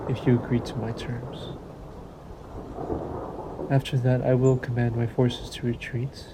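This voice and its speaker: A man performs a suave male vampire in an intimate audio roleplay, with faint stormy noise behind him. His voice is mellow and dark with a creaky edge. He speaks in a theatrical, silky way, menacing and possessive as he toys with his prey. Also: mid-range voice, rough recording. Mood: menacing, bargaining. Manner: taunting, silky, theatrical, deliberate phrasing. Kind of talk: slow intimate audio roleplay monologue to the listener.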